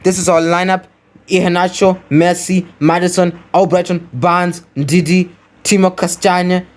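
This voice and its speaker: A man's voice: high-pitched, level tone, a little slow. A man hosting a gaming video, giving casual gameplay commentary as he thinks aloud, repeating phrases and addressing viewers. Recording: clearly noisy home recording.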